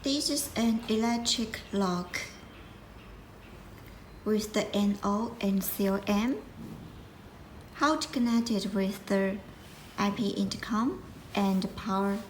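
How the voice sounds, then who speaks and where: A woman giving an informal step-by-step product tutorial in halting, non-native English, repeating her instructions. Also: medium pitch, a little hiss or hum, rough recording. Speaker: product support demonstrator.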